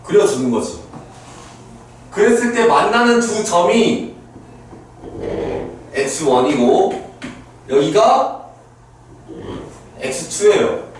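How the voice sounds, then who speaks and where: High, lively man lecturing in an echoey classroom. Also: slow pace, long pauses, slight background noise. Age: around 30. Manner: talks students through steps, asking "understood?" after each point. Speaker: math teacher. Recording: very echoey classroom, mic far off.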